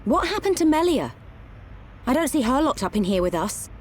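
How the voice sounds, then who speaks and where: A woman voicing a lively young adventurer in a video game cutscene, brisk and direct. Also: sits high, a little hiss or hum. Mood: puzzled.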